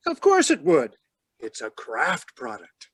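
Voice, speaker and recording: A man working as announcer and pitchman in old-time radio style. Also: huge pitch swings, slow, a bit bright, breathy edge, dry recording, studio quality.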